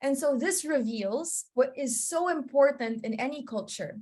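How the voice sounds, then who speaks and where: A woman's voice: high-pitched, animated, measured pace, a little mellow. A woman presenting an online teaching webinar over a video call, chatty and often checking in with her listeners. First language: English. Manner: chatty, often checks in with "you know?" and "okay?".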